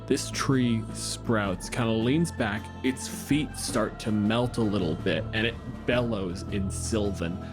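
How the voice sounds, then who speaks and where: Man narrating a tabletop game over music. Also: lowish voice. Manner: vivid, dramatic storytelling with pauses. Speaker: game master.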